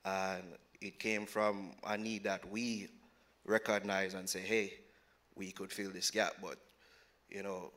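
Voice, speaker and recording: A man answering as a panel guest on a conference stage, measured and full of "you know" fillers, using a handheld mic. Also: deep voice, flat delivery, a bit bright. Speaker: panel guest, co-host of a show. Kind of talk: conversational panel talk.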